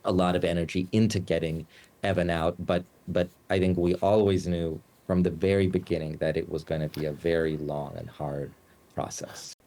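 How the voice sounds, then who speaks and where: A man from the United States, a journalist giving news interview answers, speaking haltingly with many fillers and restarts. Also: sits low, little pitch movement, mellow. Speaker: journalist and Moscow bureau chief, guest.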